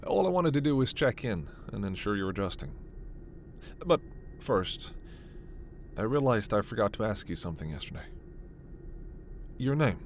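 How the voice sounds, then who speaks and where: A man playing a gentle, scholarly, protective alien doctor in a sci-fi ASMR roleplay, speaking in a measured, formal, precise way. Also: on the low side, sing-song, slight background noise. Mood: polite, thoughtful.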